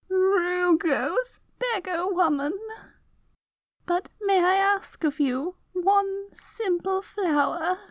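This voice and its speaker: A woman's voice: extremely high pitch, no echo, full character voice. A woman voicing a poor, wretched old beggar woman, meek and pleading with melodramatic self-pity, in a fantasy roleplay. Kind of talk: scripted fantasy audio roleplay.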